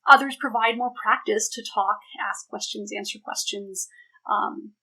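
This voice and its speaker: A woman's voice: mid-range voice, fairly even intonation, unhurried, pushed and bright, poor audio. A woman researcher explaining her ideas in an academic talk, emphatic and thinking aloud as she goes. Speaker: academic researcher, panel presenter. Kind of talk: academic presentation and question answering.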